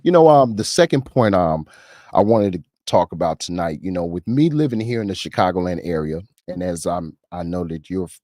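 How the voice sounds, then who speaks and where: Very deep-voiced American male host. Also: expressive, a little fast, warm tone, no room sound, clean studio sound. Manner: affirming, interjects short agreements. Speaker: interview host. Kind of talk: conversational interviewing.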